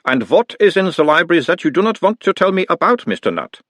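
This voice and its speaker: Man doing a high coaxing uberwaldian analyst voice, mild, lots of swing in it. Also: sing-song, fast, fairly bright tone, dry recording, studio quality, full character voice. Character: orc playing his own analyst, calm, probing.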